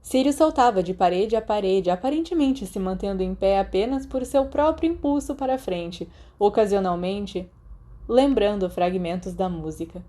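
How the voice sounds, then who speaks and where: A Brazilian woman narrates fiction in the manner of an audiobook. Her voice sits at a medium pitch and has a dark, relaxed, mellow tone. The delivery is scripted storytelling. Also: normal speed.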